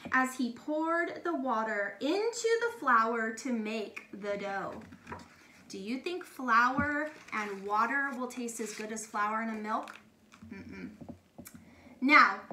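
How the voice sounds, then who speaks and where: Female teacher for children's story narration: expressive, very bright, slow and deliberate, recorded in an echoey room. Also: on the high side. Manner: expressive, emphatic, talks directly to young children. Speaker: teacher reading aloud to children. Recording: echoey room with faint noise.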